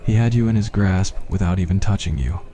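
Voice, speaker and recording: A man's voice: low-pitched, fairly quick, mellow. A man reading a scripted fantasy roleplay as ASMR story narration.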